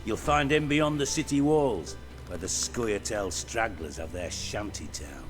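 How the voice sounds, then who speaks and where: Fantasy game card player: low-pitched male voice with animated delivery, offering tips. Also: unhurried, music in the background. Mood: amiable. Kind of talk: video game dialogue acting.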